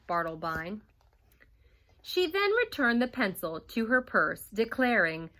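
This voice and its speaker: Animated, slow-paced woman teacher reading aloud. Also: mid-range voice. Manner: animated storybook reading with teacherly questions to the class. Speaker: first grade teacher. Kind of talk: reading a picture book aloud to young children.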